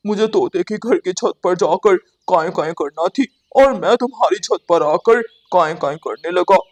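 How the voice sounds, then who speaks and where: A woman's voice: fairly low pitch, unhurried, a little mellow. A woman voicing a sly, cheeky male crow in a cartoon, repeating words in feigned innocence. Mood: sly, apologetic. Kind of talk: Hindi cartoon story dialogue.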